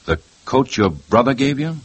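An actor playing a weary, determined police lieutenant in a 1950s radio drama, asking low, measured, insistent questions. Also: male voice, big pitch swings, husky. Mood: probing.

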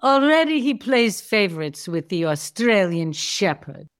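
Woman as a haughty, slow-talking fussy cat. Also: medium pitch, sing-song. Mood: disdainful. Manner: haughty, precise. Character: disdainful, fussy female cat. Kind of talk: audio play acting.

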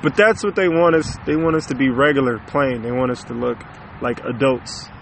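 A man's voice: mid-range voice, a little fast, vocal fry, fairly bright tone, a little hiss or hum. A man in his late twenties co-hosting a podcast in casual chat, laid-back and slangy, often ending lines with "man". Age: 20s. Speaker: podcast co-host.

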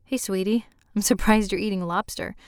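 A woman's voice: medium pitch, steady tone, moderate pace, clean tone, dry recording, clean studio sound, natural voice. A woman voicing an easygoing, mild man who tends to hedge, in an audiobook. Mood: casual, teasing. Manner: hesitant, mild. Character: easygoing, hedging man nicknamed Swifty. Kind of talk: audiobook character dialogue.

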